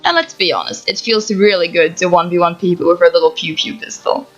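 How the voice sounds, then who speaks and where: A young woman from the Netherlands with a Dutch accent, calmly reading out answers to viewer questions for her gaming channel. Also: on the low side, fairly quick, dull audio. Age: young adult. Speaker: gaming YouTuber. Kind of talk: reading Q&A answers to camera, no face cam. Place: home setup, voice-over without camera.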